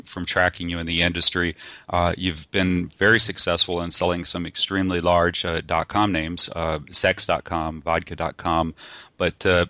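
Deep voiced guy interviewing, bright forceful tone, dry close sound. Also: no room sound. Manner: measured questions with fillers. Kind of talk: business interview.

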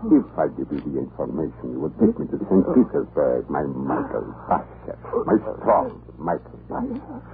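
Very deep, menacing commandant. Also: male voice, wildly swooping pitch, gravelly, heavily acted. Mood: jealous rage. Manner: deliberate, mocking, turning violently threatening. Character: menacing, jealous, murderous Russian prison island commandant. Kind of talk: 1930s radio horror acting. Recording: muffled, noisy 1930s radio recording.

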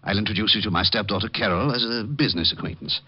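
A man playing an anxious retired stockbroker in an old-time radio drama, older, halting and stammering, with a husky voice. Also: fairly low pitch. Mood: uneasy, formal. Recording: dull vintage radio transcription.

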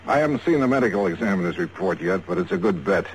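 A man's voice: low-pitched, animated, fast talker, a little hiss or hum, low-quality recording. An American man playing a wry, skeptical, hard-boiled investigator in 1950s radio detective style, clipped and matter-of-fact. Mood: probing, hard. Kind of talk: 1950s radio detective acting with first-person narration. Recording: 1950s radio drama recording.

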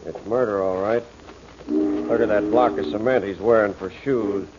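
An actor playing a no-nonsense waterfront crew boss in an old radio drama, with a muffled, noisy sound. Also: male voice, on the low side, steady tone, normal speed, natural voice. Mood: matter-of-fact. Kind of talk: 1940s radio acting.

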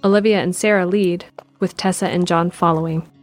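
A female narrator with a slightly low voice reads the stage action of an audio drama in scripted style. Soft music sits underneath. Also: fairly even intonation, a little fast.

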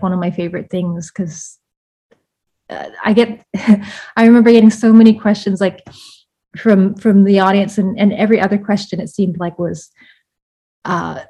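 Mellow, husky-voiced woman author. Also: medium pitch. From Vietnam. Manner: reflective, many fillers and restarts, laughs often. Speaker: author and guest. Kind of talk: casual interview conversation.

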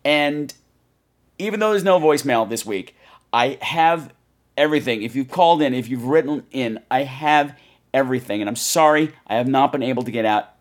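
Guy podcast host, medium pitch, rambly casual chat, wry and self-deprecating. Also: moderate pace. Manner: chatty, self-deprecating, wry, uses mild swearing.

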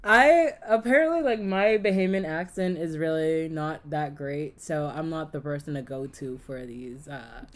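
A young woman chats on a podcast, telling animated stories and acting out what her family said. Her voice sits on the low side for a woman. She sounds relaxed and at ease. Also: unhurried, few pauses. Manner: animated storyteller who acts out family dialogue, laughs easily. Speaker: podcast co-host. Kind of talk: casual chat.

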